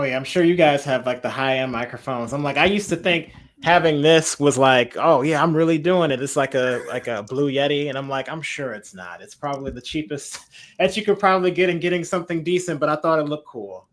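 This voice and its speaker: A man's voice: mid-range voice, a little fast, a bit bright. A man hosting a casual video call interview, chatting with loose slang. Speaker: interviewer host.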